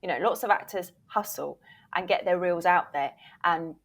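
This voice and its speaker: A woman's voice: lowish voice, normal speed. A woman speaking as an interview guest, explaining things conversationally and emphatically. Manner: emphatic, often voices imagined questions from actors. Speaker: interview guest, voice reel producer and former voiceover agent.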